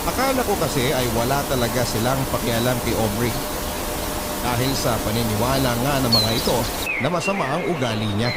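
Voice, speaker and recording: A man playing an earnest office worker who tells his own love story as measured, scripted radio drama narration. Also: mid-range voice, heavy background noise, natural voice. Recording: loud music behind the voice. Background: prominent music bed.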